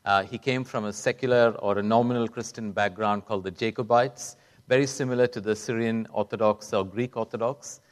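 A man's voice: on the low side, fairly even intonation, brisk. A middle-aged man, a doctor telling his own story as a guest speaker on a conference stage. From Kuwait and South India. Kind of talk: public talk, personal storytelling.

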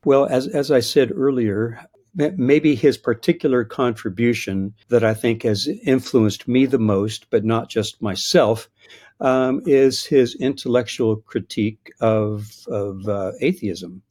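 A man's voice: medium pitch, unhurried, a little mellow. A man in his sixties, a biblical scholar answering interview questions in a reflective, hesitant way with frequent restarts. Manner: thoughtful, hesitant restarts, chuckles at his own points.

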